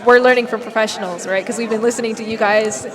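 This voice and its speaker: A woman hosts an interview at a live event, friendly and hesitant, with many fillers. Her voice is slightly high. A loud crowd hums behind her. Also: fairly even intonation, fast. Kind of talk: casual interview.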